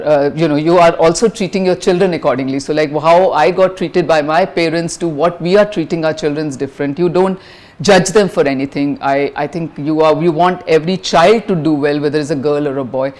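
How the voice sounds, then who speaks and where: A middle-aged woman from India with an Indian English accent and a high-pitched voice, answering interview questions frankly, with lots of fillers and restarts. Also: a little fast, darkish tone. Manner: frank, many fillers and restarts, mixes in Hindi phrases.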